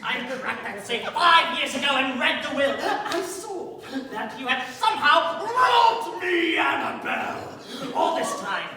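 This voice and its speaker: A man's voice: very high voice, sing-song, measured pace, few pauses, crowd noise, full character voice. A man playing a desperate, greedy, unhinged young villain in a staged radio play, ranting bitterly and menacingly. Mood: bitter, frenzied. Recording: echoey hall, distant stage mic.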